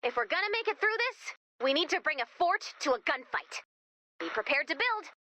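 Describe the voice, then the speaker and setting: A young American woman guiding the player through a video game. Her voice is high and bright, swinging widely in pitch. She comes across as quirky, chatty and theatrical, with a wisecracking streak. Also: sing-song, a little fast, dry recording, heavily acted. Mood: concerned, earnest. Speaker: mission guide character addressing the player commander. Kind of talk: animated video game character dialogue.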